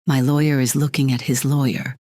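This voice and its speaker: A woman acting an icy, low-voiced female executive. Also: fairly even intonation, darkish tone, no echo, clean studio sound. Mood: unsettled, analytical. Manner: clipped, deliberate, imperious. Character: cold, controlling female corporate executive, divorced, armor cracking. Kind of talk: dramatic audio drama monologue.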